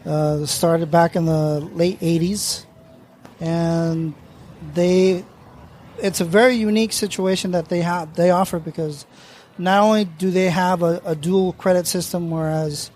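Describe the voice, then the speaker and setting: High-voiced man explaining at length. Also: steady tone, measured pace, darkish tone, a little hiss or hum. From Mexico. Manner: thoughtful, explanatory, laughs easily. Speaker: guest panelist. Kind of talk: casual round-table chat.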